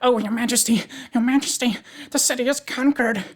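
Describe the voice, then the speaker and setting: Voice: mid-range voice, moderate pace, gravelly, pitched above the performer's own voice. A reader voicing a flustered, honest male royal soldier in a storybook, earnest and aggrieved. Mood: breathless, alarmed.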